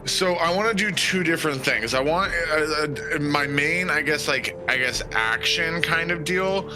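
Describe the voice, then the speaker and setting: A man improvises as a player at a tabletop game, in a slightly high voice. He speaks slowly and haltingly, working out his character's actions aloud. Music plays clearly behind him. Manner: halting, thinking out loud. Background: background music.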